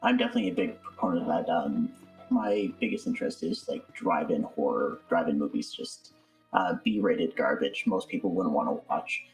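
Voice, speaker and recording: Deep-voiced man chatting, music behind. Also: big pitch swings, normal speed, vocal fry, low-quality recording. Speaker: interview guest, audiobook voice actor. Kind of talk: casual conversational interview.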